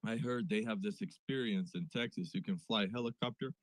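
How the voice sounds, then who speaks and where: A man's voice: on the low side, a little fast, no room sound, rough recording. Playful Russian-accented man. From Siberia. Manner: playful, chatty, swears. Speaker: guest caller. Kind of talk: casual chat on a live audio space.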